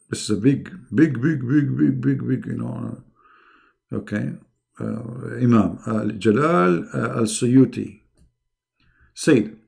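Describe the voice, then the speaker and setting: A man's voice: medium pitch, gravelly, darkish tone. A middle-aged man who speaks English as a second language hosts a live online debate in a mocking, emphatic, sarcastic way. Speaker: debate host.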